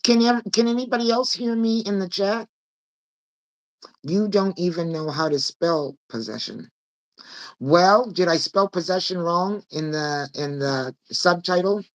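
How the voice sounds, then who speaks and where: A woman with a raspy voice, hosting a casual live-stream chat and laughing often. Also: fairly low pitch, unhurried, low-quality recording. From Nova Scotia. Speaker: host. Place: home room with fake northern lights projector.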